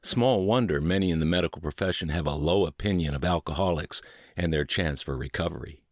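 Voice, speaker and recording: A man narrating a book word for word as scripted narration, with measured, deliberate phrasing. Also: low voice, no room sound, clean studio sound. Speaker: audiobook podcast narrator.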